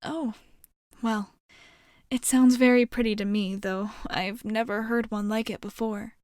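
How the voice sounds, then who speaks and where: Slow, soft-spoken young alien woman, acted for ASMR. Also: on the high side, fairly even intonation, no echo, clean studio sound, close to an everyday voice. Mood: apologetic, curious. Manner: soft, reassuring, hesitant, caring. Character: gentle, curious young female alien rescuer soothing a frightened human.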